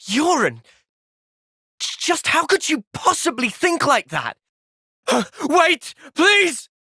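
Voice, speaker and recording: A man with an English accent voicing an earnest, thoughtful young soldier hero in a fantasy video game, speaking in a measured, reflective way. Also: very high-pitched, huge pitch swings, pushed and bright, no echo, studio quality, full character voice. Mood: urgent, distressed. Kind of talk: video game cutscene acting.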